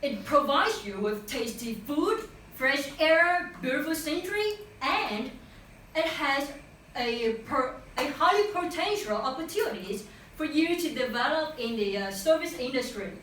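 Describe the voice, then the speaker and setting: A young woman with a Vietnamese accent speaks high and animated, her pitch rising and falling freely. She presents to a class in earnest, pausing and restarting at times. She sounds far from the mic, in a very echoey room with faint noise. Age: young adult. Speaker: student presenter.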